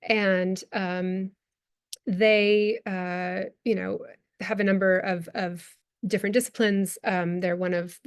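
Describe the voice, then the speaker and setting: A woman's voice: medium pitch, flat delivery, takes it slowly, clean tone. An American woman in her thirties, an anthropologist answering audience questions at a talk, with many fillers, restarts and laughs. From United States. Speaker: guest speaker, anthropologist. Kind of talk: lecture Q&A answer.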